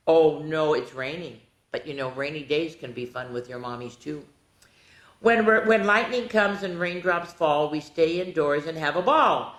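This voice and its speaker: A mature woman reads picture books aloud to young children in a roomy, echoing space. Her pace is slow, with key words drawn out. She is animated and often breaks off to speak straight to her young listeners. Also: sits low. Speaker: children's storytime host. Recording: roomy, echoey room sound.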